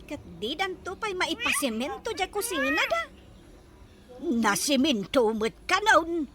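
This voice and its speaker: A woman plays a gossipy, suspicious village busybody in a radio drama. Her voice is high and swoops widely, with slow, drawn-out exclamations, over clear background noise. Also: sing-song, exaggerated voice. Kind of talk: Ilocano radio drama acting.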